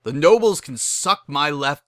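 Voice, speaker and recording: A narrator voicing a brash, hot-headed, stubborn working pony who talks in a blunt, gruff way. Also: medium pitch, lively intonation, unhurried, sharp tone, no echo, studio quality, pitched above the performer's own voice. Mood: defiant.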